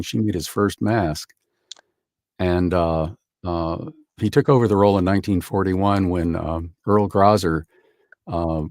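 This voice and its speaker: Deep-voiced man telling a story, dry sound. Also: a little mellow, no echo. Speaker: voice actor guest. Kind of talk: casual conversation over a call.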